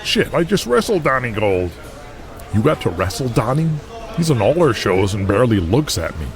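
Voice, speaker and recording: An actor playing brash, excitable young pro wrestlers trading slangy, sweary banter in an audio drama. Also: male voice, mid-range voice, normal speed. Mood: excited bragging.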